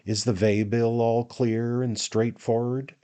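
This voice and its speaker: A man's voice: medium pitch, level tone, slow, mellow, voice pushed up, lightly acted. A man voicing a grave, droll senior coachman with a Cockney accent in a comic storybook. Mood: gravely businesslike. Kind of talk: dramatised audiobook reading of a comic Victorian novel.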